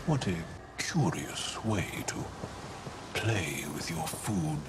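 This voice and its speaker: An actor playing a laconic male alien crewmate in a video game, slow, deliberate and understated, with dry humor. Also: low voice, animated, background noise. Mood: puzzled, dry. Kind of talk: scripted video game voice acting.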